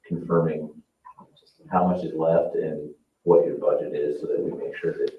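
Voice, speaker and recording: A man's voice: extremely low pitch, little pitch movement, normal speed. A man chairing a public meeting as council president, calling votes and putting questions in procedural language. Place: indoor council meeting room. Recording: echoey, distant, dull meeting-room audio.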